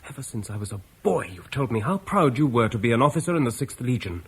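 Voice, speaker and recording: An eager young Roman-British son in a classic radio play. His voice is very raspy and swings widely in pitch. He pleads and pushes with youthful insistence. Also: expressive, fast. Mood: defiant. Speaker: actor in radio drama.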